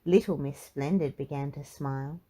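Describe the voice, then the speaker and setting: A woman reading a children's storybook aloud in an expressive, measured narrating style. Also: low voice, husky. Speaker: children's book reader.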